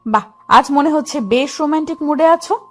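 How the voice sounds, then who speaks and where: A woman's voice: high voice, brisk, faint music behind, lightly acted. A woman playing a bossy, possessive young wife, scolding yet affectionate, in a dramatized romantic audio story. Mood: teasing, affectionate. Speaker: female lead of an audio love story.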